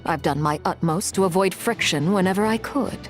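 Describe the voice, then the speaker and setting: A woman playing a composed, formal and quietly menacing villainess in a video game, speaking in a measured, guarded way. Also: on the low side, close to an everyday voice. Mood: diplomatic. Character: composed, formal, menacing adult female Harbinger. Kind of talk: video game cutscene acting. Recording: faint game music behind.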